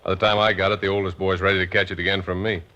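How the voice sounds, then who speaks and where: A man's voice: low voice, flat delivery, racing, bright, forceful tone. A man with an American accent playing a droll, grumbling family-man police officer in a radio drama, with a dry, rambling way of talking. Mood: wry complaining. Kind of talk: 1950s radio drama acting, conversational. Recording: dull, hissy old radio recording.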